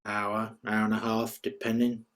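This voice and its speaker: A man acts a world-weary, grumpy hotel clerk in his thirties for a drama reading. The voice is fairly low, laconic and offhand. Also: monotone, normal speed, a bit bright, natural voice. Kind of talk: script table-read acting.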